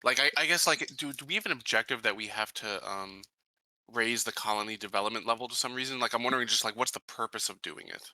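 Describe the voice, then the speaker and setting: A man's voice: mid-range voice, fast talker, bright, forceful tone, no room sound, rough recording. A man playing a tabletop game, asking questions casually and hesitantly, often repeating his words.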